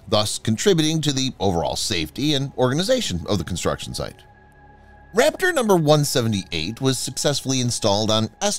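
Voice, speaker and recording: A man reading a scripted voice-over narration with a dramatic, swooping delivery. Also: mid-range voice. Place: voice-over, not recorded on site.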